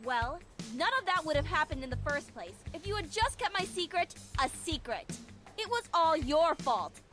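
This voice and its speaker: Game schoolgirl, voiced by a woman: extremely high voice, indignant and accusing, emphatic, light music underneath. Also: very animated, normal speed, fairly bright tone. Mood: hurt, accusing. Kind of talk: visual novel game voice acting.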